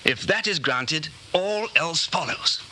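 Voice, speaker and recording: An actor playing a brooding, guarded man in a dystopian radio drama, speaking tersely and haltingly with intensity in a 1940s style. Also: a little high, expressive, pushed and bright, exaggerated voice. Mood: fervent. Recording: noisy 1940s radio recording.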